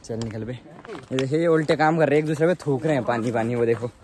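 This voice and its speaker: A man's voice: mid-range voice, fast, a little mellow. A young man out on a hillside trail with friends, bantering and joking back at the vlogger. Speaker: friend on the trip.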